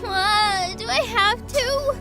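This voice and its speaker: A woman's voice: very high-pitched, takes it slowly, over loud music, full character voice. A woman voicing an anxious, lovestruck fox girl in an audio drama, whiny and youthful in her phrasing. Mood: sulky.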